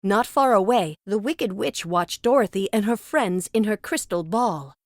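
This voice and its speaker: A woman narrating a read-along children's storybook with expressive, dramatic storytelling. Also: mid-range voice, a little slow, no echo, clean studio sound.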